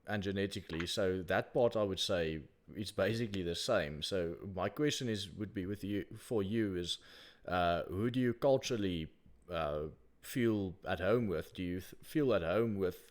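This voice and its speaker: Low-voiced South African host. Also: male voice, normal speed. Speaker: call-in show host. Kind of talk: casual call-in chat.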